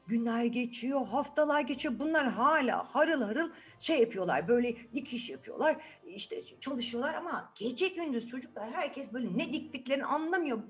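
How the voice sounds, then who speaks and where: A woman telling a fairy tale to children in an animated, conversational way, often addressing them directly. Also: high voice. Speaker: children's storyteller.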